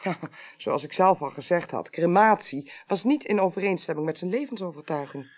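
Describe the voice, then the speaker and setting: Brisk, expressive female clerk. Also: fairly low pitch. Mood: matter-of-fact. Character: composed, courteous female court clerk investigating a death. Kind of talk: naturalistic Dutch radio drama acting.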